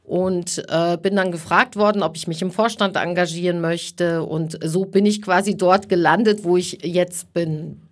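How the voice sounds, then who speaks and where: Lower-voiced woman in her forties. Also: fairly even intonation, unhurried, few pauses. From Iran, raised in Germany. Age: 40s. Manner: earnest, with frequent hesitations. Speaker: guest, women's network chair. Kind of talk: interview.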